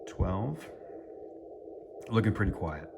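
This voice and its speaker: A man's voice: deep voice, lively intonation, slow, vocal fry, heavy background noise. An American man giving a casual running commentary as he operates a radio, thinking aloud and muttering to himself. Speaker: amateur radio operator and vlogger.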